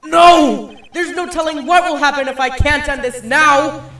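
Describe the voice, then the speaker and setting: An earnest young male lawyer, acted by a man, theatrical and easily rattled in a courtroom game dub. He speaks in measured, emphatic phrases over background music. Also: extremely high pitch, fairly even intonation, bright, forceful tone, full character voice. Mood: flustered, worried. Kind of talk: video game fandub courtroom drama.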